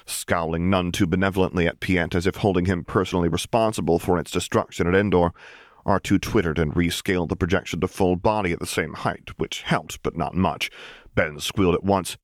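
Male audiobook narrator: low register, very varied melody, expressive story delivery, dry recording. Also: dry recording.

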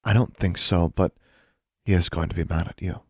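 A man voicing a shy, caring schoolgirl witch in a story, soft and earnest. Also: deep voice, fairly even intonation, normal speed, no echo, studio quality. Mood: gentle.

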